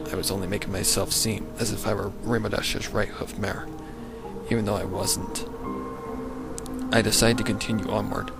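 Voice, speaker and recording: Low-voiced man narrating over music. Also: gravelly. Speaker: fan fiction narrator. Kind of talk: dramatic scripted first-person story narration. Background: prominent music.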